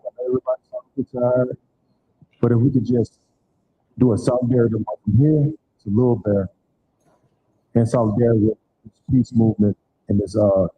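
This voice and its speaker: A man's voice: fairly low pitch, narrow, tinny audio, a little hiss or hum. Rambling man at a live event. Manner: loose, rambling and repetitive. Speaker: community event organizer. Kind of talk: closing remarks at a live community event. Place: church event hall.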